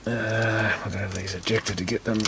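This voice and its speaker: A man's voice: on the low side, level tone, brisk, very noisy. A man giving casual hands-on repair commentary, muttering to himself as he works. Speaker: repair video presenter.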